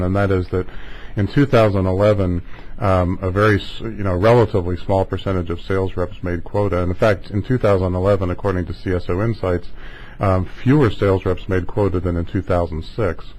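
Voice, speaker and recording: Man, low pitch, interview host, conversational, long meandering delivery. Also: steady tone, brisk, darkish tone, poor audio.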